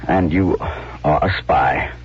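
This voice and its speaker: A man's voice: extremely low pitch, slow, breathy edge, exaggerated voice. A man acting a stern, lovesick army colonel in an old-time radio drama, with a formal, earnest delivery. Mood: pained. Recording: dull, noisy radio drama recording.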